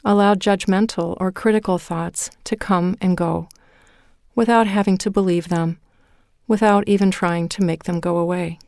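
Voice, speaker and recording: A woman leading a guided meditation in a calm, measured way, with deliberate pauses between phrases. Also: fairly low pitch, no echo, clean studio sound. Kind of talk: guided meditation, scripted narration.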